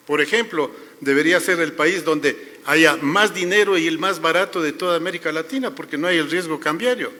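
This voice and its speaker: A forceful, bright-voiced man speaks on a business panel, pressing his points with emphatic, critical remarks and frequent restarts. His voice rings through a large conference hall with a long reverb. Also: on the high side.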